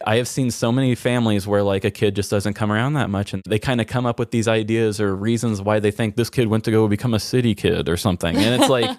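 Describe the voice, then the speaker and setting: A man's voice: lowish voice, fairly even intonation, fast talker, few pauses, dry recording, clean studio sound. A man speaking as a podcast host in candid personal conversation, reflective and self-critical, rambling a little as he thinks aloud.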